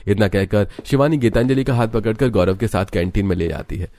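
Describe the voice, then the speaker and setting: A man's voice: lowish voice, a little fast, darkish tone, soft background music. A man narrating a scripted story in the style of a storybook.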